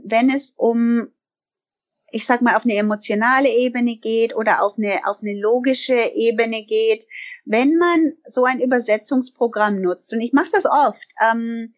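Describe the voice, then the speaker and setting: A woman's voice: on the high side, highs cut off. A bilingual woman who owns a language school, answering interview questions in an emphatic way and often correcting herself mid-sentence. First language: bilingual German and English.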